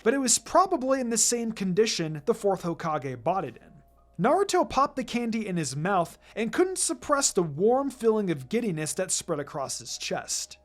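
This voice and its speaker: A narrator reading a fan fiction story aloud in an expressive, scripted storytelling style. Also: mid-range voice, moderate pace. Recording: music behind the voice. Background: background music.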